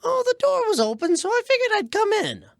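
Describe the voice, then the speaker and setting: A man's voice: very high voice, sing-song, brisk, creaky, fairly bright tone, dry recording, studio quality, heavily acted. A man voicing a chatty, cocksure rat con artist with a folksy, wheedling manner in a radio comedy. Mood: breezy, sly.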